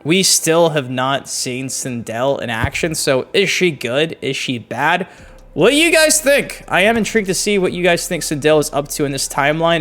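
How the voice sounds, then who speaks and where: Male gaming video host, slightly high voice, informal and slangy, an enthusiastic fan delivering casual news commentary. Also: fairly bright tone.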